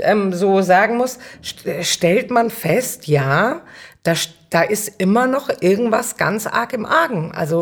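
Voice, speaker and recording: A middle-aged woman chats as an interview guest in a voice pitched a little low. She talks earnestly and with emphasis, in an informal, conversational style. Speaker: podcast guest, cultural manager and councillor.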